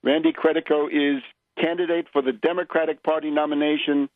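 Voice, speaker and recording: Higher-voiced male radio host, lo-fi sound. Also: flat delivery, fairly quick, no room sound. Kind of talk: political radio interview. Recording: dull lo-fi radio audio.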